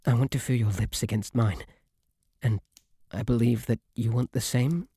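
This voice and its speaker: A man with a British accent voicing a shy, devoted, submissive bunny butler in an intimate ASMR roleplay, soft, breathy, halting and deferential. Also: mid-range voice, steady tone, dry recording, clean studio sound. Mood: bashful longing.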